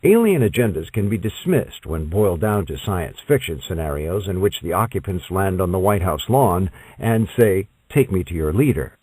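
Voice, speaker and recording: Expressive low-voiced male audiobook narrator. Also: husky, no echo, poor audio. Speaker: audiobook reader. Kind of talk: scripted non-fiction narration.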